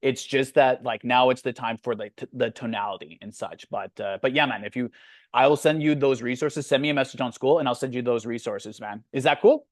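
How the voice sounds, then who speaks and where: A man's voice: medium pitch, brisk, dry recording, clean studio sound. A man with a Canadian accent, a sales coach hosting a community Q&A call, talking bluntly and frankly, with plenty of fillers.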